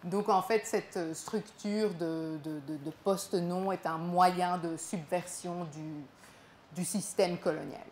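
Young woman, low-ish voice, halting with repeated words and fillers, live talk to a small audience, echoey room. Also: steady tone, darkish tone, a little hiss or hum. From Congo, raised in Belgium. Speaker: performer telling her own story. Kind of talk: live spoken performance.

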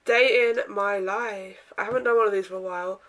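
A young woman vlogging casually from her bedroom, with a croaky voice from a sore throat, chatting in a rambling way with lots of ums and throat clearing. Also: mid-range voice, fairly even intonation, brisk, fairly bright tone. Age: young adult. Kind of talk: casual day-in-my-life vlog. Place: bedroom, indoors.

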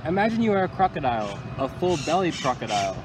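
Lively young man reading a children's story outdoors. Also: fairly high pitch, fast. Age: young adult. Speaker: county environmental employee reading to children. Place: outdoors under a tree by water. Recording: outdoor recording with loud ambient noise.

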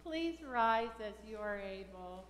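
A middle-aged woman speaking as a pastor, leading liturgical prayer in a measured, solemn way in a church. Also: mid-range voice, animated, a little hiss or hum. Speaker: pastor leading a funeral service. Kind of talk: liturgical prayer and creed recitation. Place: large church sanctuary, at the altar. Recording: echoey church hall with long reverb.

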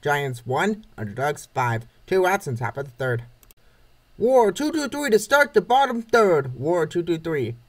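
High-pitched, lively man calling baseball plays. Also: measured pace. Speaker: narrator of a comic dub series. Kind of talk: scripted play-by-play narration of a comic baseball game.

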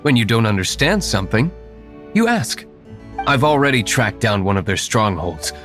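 A man's voice: on the low side, big pitch swings, moderate pace, a bit bright, faint music behind. A man voicing a stoic young nobleman hero in a video game, composed, curt and formal. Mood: matter-of-fact.

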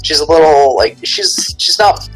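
A man's voice: unhurried, husky, faint music behind, low-quality recording. A man, not a native English speaker, chatting on a podcast in a hesitant way, often restarting his sentences. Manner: hesitant, restarts, pitch jumps up when animated. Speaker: podcast co-host. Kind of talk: casual podcast chat.

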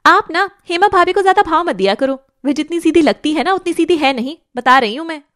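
A woman voicing a jealous, sulky young daughter who whines and complains in an animated family drama. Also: very high-pitched, brisk, no room sound, clean studio sound, pitched above the performer's own voice. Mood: gossipy. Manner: whining, complaining.

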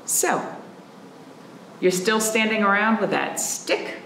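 A woman in her thirties, a children's librarian, reading a picture book aloud in an animated, playful way and asking the children questions. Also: medium pitch, slow pace, gravelly, roomy sound, slight background noise, poor audio. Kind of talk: storytime read-aloud for children. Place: library room in front of a painted wall.

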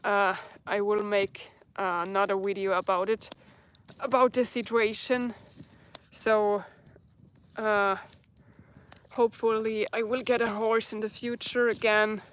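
German woman, 30s, unhurried live-stream host, phone held close outdoors, groping for English words. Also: medium pitch, little pitch movement, a little hiss or hum. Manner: slow, searching for English words. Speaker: bushcraft vlogger. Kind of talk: live stream chat reading comments. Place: outdoors in a dark forest at night by a campfire.

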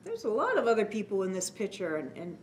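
A woman's voice: medium pitch, wildly swooping pitch, fast, a little mellow. A woman in her forties hosting a children's story time, talking to young listeners with exaggerated, prompting questions. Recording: clear background noise.

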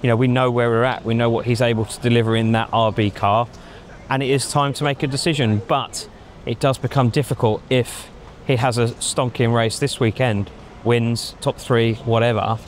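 A middle-aged man giving measured opinions in a casual outdoor chat, thinking aloud with frequent ums, on a lapel mic. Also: medium pitch, steady tone, slight background noise. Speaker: pundit. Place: outdoors in a racing paddock at night. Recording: faint outdoor street ambience.

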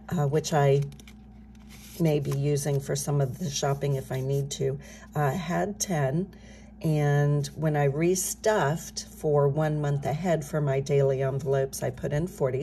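Soft-spoken middle-aged woman hosting a budgeting vlog; low, mellow, slightly scratchy morning voice. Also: fairly even intonation, a little slow. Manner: soft-spoken, talking softly. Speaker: budgeting channel host. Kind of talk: casual chat while stuffing cash envelopes. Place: home desk, indoors.

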